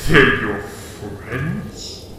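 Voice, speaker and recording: A man's voice: mid-range voice, expressive, drawn-out words, bright, forceful tone, a little hiss or hum, heavily acted. A man playing a cold, imperious frost king full of menace and contempt in a fantasy audio drama. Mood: menacing.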